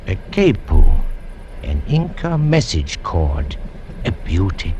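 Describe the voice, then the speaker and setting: An actor playing a formal, scholarly Peruvian museum curator, in an old-time radio drama. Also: male voice, low-pitched, huge pitch swings, darkish tone, exaggerated voice. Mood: intrigued, explaining. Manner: measured, formal, polite. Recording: noisy old radio broadcast recording.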